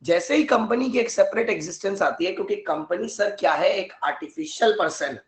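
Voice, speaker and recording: A man teaching a live online law class with a hoarse, sore throat, often clearing it and mixing in English terms. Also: high voice, expressive, a little fast, echoey room.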